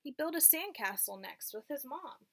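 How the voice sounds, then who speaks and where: A woman's voice: high voice, big pitch swings, normal speed, background noise. A woman reading a picture book aloud to young children, teacher-like, in simple phrases and checking in with questions.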